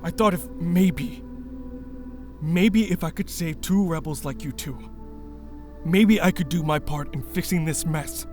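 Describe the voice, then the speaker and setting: Man playing a guilt-ridden rebel, higher-pitched. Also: unhurried, a little mellow, faint music behind, natural voice. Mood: remorseful, confessional. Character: guilt-ridden male former cult member turned rebel, earnest. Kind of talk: sci-fi audio drama acting.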